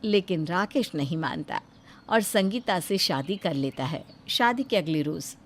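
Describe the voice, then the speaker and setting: A woman's voice: on the low side, expressive, a little slow. A woman narrating a scripted moral story between lines of dialogue.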